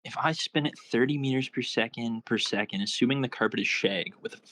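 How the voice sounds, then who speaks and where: A man's voice: mid-range voice, brisk, pushed and bright, natural voice. A man playing a nervous, apologetic, nerdy teenage boy at a script table read. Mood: calculating. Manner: awkward, earnest.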